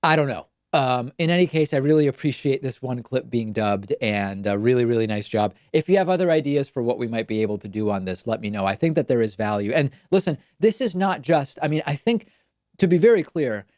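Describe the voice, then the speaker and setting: Conversational talk show host, dry close sound. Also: male voice, medium pitch, expressive, a little fast, darkish tone, no room sound. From Argentina. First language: Spanish. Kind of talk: political talk show monologue.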